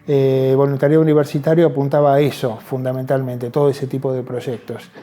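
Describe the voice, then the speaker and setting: A man's voice: medium pitch, flat delivery, a little fast, mellow. An Argentine man speaking reflectively in an interview, often seeking agreement with short tag questions. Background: music.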